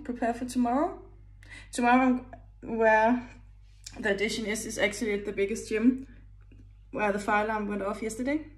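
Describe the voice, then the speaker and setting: A young woman in her twenties with a Danish accent, chatting casually in a vlog, often laughing and hunting for English words. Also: on the high side. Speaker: vlogger, gym worker. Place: kitchen at home, indoors. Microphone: phone at arm's length.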